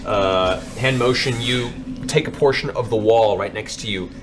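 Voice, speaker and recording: A man's voice: lowish voice, normal speed, background noise. Man narrating a tabletop fantasy game. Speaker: game master. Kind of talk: dramatic narration of a tabletop role-playing game.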